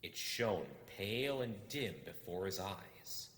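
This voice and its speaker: Deep-voiced man reading a story, echoing. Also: takes it slowly, fairly bright tone. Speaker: audiobook reader. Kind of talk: expressive storybook narration. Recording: roomy echo with long reverb.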